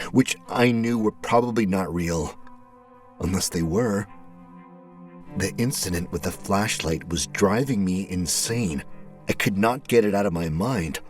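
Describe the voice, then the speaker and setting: Male narrator, first-person horror: deep voice, unhurried, dramatic pauses, music underneath. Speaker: storyteller, narrator.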